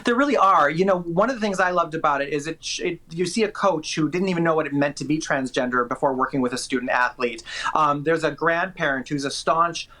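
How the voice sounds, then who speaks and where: A man's voice: on the high side, brisk, few pauses, pushed and bright. A man working as a film critic, giving chatty, enthusiastic and opinionated movie reviews with emphatic delivery.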